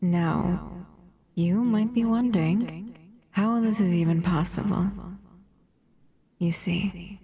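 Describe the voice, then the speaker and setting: Casting: actress as a possessive female monster for audio roleplay, unhurried and slightly low, cooing and teasing. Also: creaky, a crowd in the background. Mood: intimate, sly. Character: possessive, doting female monster, captive in a lab. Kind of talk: intimate audio roleplay acting.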